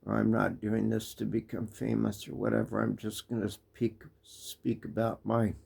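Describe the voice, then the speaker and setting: An American man telling a personal story in a casual, halting way, full of restarts and fillers. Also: lowish voice, level tone, gravelly, a little mellow, breathy edge. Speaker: vlogger. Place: living in Japan.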